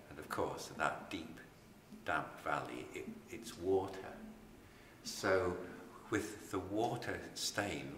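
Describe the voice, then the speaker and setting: An older man with a very deep voice speaks as a poet, slowly and deliberately. His tone is bright and clear-edged, with pauses between phrases. The sound is reverberant, like a hall, with faint noise. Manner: measured, deliberate, with pauses and repeated words. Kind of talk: poetry reading and reflective introduction.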